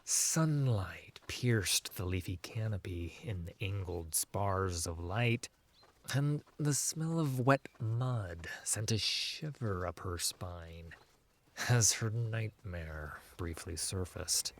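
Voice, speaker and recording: A man reads a fantasy audiobook as its narrator. His voice is fairly low and his pace is slow, giving the scripted prose a measured, dramatic delivery. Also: lively intonation.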